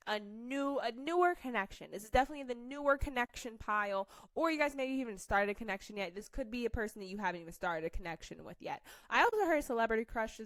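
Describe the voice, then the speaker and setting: A woman's voice: medium pitch, fairly bright tone, dry recording. A young American woman giving a casual tarot reading, rambling and correcting herself as she reads card meanings aloud. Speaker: tarot reader.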